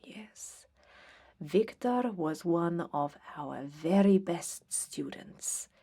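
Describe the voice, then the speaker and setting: A woman playing a stern, haughty vampire who examines aspiring blood hunters in an ASMR roleplay, curt and imperious, with a breathy voice that drifts into whispers. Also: fairly low pitch, expressive, extremely slow, dark tone, pitched below the performer's own voice, heavily acted. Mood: cool, knowing.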